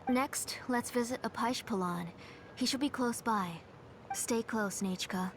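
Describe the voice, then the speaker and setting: An actress playing a gentle, caring mother in a video game. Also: on the high side, measured pace, a little hiss or hum, natural voice. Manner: warm, motherly. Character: gentle caring mother, former name engraver.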